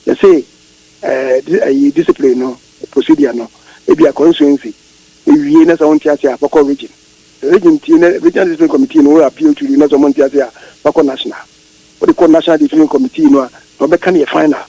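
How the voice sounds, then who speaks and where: Ghanaian male interviewee, fairly high mellow voice, emphatic and repetitive, for a political radio discussion. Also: a little fast, no room sound, poor audio. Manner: emphatic, repeats phrases and asks rhetorical questions. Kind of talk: animated explanation in a radio interview, switching between Twi and English.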